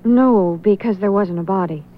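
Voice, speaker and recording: An actress playing an earnest, wistful widow with a hesitant, emotive manner in a 1970s radio drama. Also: female voice, mid-range voice, moderate pace, slight background noise, close to an everyday voice. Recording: slightly muffled old radio recording.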